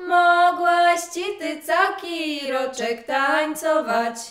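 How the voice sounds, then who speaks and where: A woman's voice: sits high, little pitch movement, drawn-out words, fairly bright tone, soft background music. A woman singing a traditional folk song as a folk singer. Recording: echoey, roomy sound.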